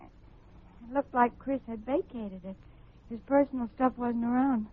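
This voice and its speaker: An actress playing an anxious, loyal young sweetheart in an old radio drama, speaking in an earnest, hesitant way. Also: female voice, on the high side, unhurried. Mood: sheepish. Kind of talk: 1950s radio drama acting. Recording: muffled phone-like vintage radio recording.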